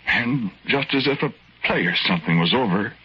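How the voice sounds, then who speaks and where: A man giving grave, deliberate first-person narration in an old radio drama style. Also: mid-range voice, lively intonation, a little hiss or hum. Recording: dull old radio recording, loud music behind.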